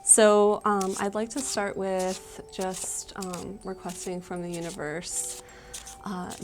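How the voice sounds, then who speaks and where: A woman working as a tarot card reader, talking casually and tentatively as she gently explains a spiritual reading. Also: fairly low pitch.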